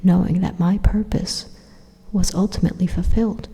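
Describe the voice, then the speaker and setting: Reverberant, breathy evil robot played by a woman, mellow, whispery, deliberate, coldly persuasive, condescending, ASMR roleplay. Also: lowish voice, fairly even intonation. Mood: calm persuasion. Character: calm, menacing supercomputer robot turned evil, coldly persuasive. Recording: long reverberant echo.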